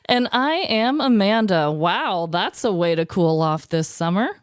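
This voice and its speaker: A woman co-hosting a podcast in casual, comedic chat, playful and expressive. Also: medium pitch, unhurried, clear, resonant tone, no echo, clean studio sound. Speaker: podcast co-host.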